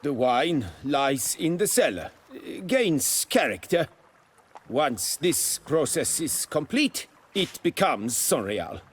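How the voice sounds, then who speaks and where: An actor playing an anxious, deferential nobleman winemaker in a video game, proud yet servile, with a formal and halting delivery. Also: on the high side, very animated, husky, heavily acted. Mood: proud, measured.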